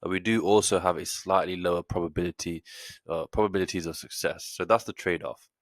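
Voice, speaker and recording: A man's voice: low-pitched, fairly even intonation, a little fast, no room sound, clean studio sound. A man with a stuffy nose from a cold, explaining an options trade step by step in a screen-share tutorial, with frequent fillers. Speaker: trading educator.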